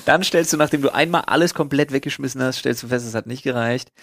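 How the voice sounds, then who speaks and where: A man's voice: mid-range voice, quick pace, gravelly, a bit bright, dry recording. Slangy, sweary man bantering, close dry sound. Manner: slangy, sweary, mock-exasperated. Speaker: podcast co-host. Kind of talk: casual banter among friends.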